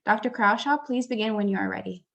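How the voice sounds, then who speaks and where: Female moderator on a video call, formal and courteous, mid-pitched. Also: quick pace, vocal fry, a bit bright, highs cut off. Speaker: conference moderator. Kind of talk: introducing speakers.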